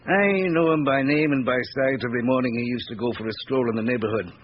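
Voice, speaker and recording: An actor playing an observant police officer in a classic radio drama, thinking aloud in a hesitant, musing way. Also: male voice, medium pitch, quick pace, lightly acted. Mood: surprised, curious. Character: musing male police colleague, speculative and observant. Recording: muffled, noisy vintage radio recording.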